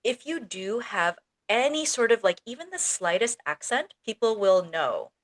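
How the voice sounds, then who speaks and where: A woman who is a native English speaker, co-hosting a casual podcast chat as an ESL teacher, speaking in a relaxed way with many fillers. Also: fairly high pitch, normal speed.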